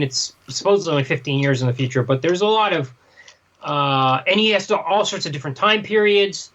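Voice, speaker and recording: A man's voice: high-pitched, sharp tone, muffled recording. A man co-hosting a podcast in casual discussion, giving his review. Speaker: podcast co-host reviewing comics.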